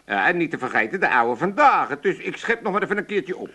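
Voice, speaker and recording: A man's voice: a little high, sing-song, fairly quick, bright, forceful tone, slightly rough, heavily acted. A man playing a greedy, foul-mouthed old father in a radio comedy, grumbling crude asides in an Amsterdam accent. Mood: scornful. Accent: Amsterdam Dutch. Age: older. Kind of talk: 1980s radio comedy acting.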